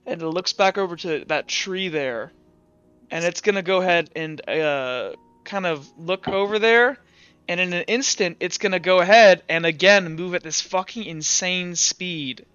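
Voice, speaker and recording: High-voiced man narrating a tabletop game. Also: pushed and bright, clean tone, no echo, lo-fi sound. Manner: halting, dramatic, swears casually. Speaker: game master.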